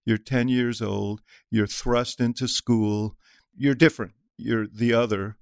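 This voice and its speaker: A man hosting an interview, asking questions haltingly with many fillers and restarts. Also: mid-range voice, fairly even intonation, creaky, clean tone, no room sound, clean studio sound. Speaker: podcast host. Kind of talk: interviewing a guest.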